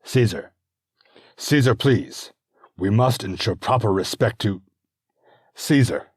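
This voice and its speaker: A man's voice: lowish voice, expressive, slow, slightly rough, no room sound, clean studio sound, pitched above the performer's own voice, full character voice. A man voicing an earnest, anxious Roman general who speaks with urgency. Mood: urgent.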